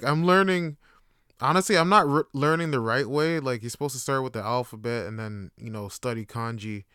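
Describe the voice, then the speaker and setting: Slangy young man, smooth dry voice. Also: medium pitch, no echo. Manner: slangy, animated, joking asides. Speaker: podcast host. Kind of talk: casual monologue.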